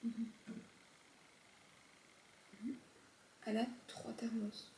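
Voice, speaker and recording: A woman at home talking in a soft, matter-of-fact way to a family member. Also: a little high, little pitch movement, extremely slow, a little hiss or hum. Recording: echoey room.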